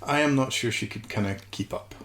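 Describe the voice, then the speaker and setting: A man's voice: medium pitch, a little fast, a bit bright. A man co-hosting a podcast, chatting casually in a wry, nitpicking way. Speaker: podcast co-host. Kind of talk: casual fan discussion.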